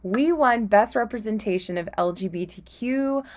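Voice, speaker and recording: A woman's voice: medium pitch, moderate pace. A woman giving an effusive, grateful thank-you speech in informal language.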